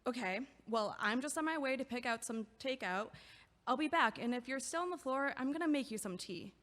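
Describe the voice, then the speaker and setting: An actress playing a friendly, chatty neighbor who is a physical therapist, talking in a warm, easygoing way in an audio drama. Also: female voice, high voice, lively intonation, a little fast, long reverb tail.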